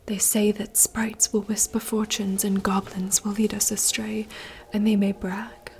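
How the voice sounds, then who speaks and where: A woman voicing a wistful young princess in a soft, slow, poetic whisper. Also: mid-range voice, little pitch movement, a little hiss or hum, natural voice. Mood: yearning. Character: wistful young princess longing for wild woods. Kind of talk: whispered monologue reading.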